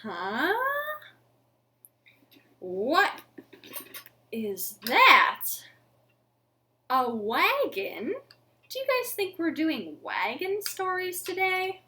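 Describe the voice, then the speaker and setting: A woman in her thirties hosting an interactive storytime for young children, with expressive, sing-song delivery and exaggerated reactions. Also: high-pitched, very slow, pauses often. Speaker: library storytime host. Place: living room at home.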